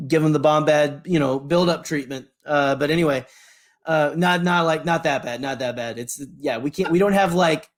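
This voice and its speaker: A man's voice: on the high side, moderate pace. A man co-hosting a podcast, chatting casually and enthusiastically in a rambling, slangy way, often interrupting himself. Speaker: podcast co-host.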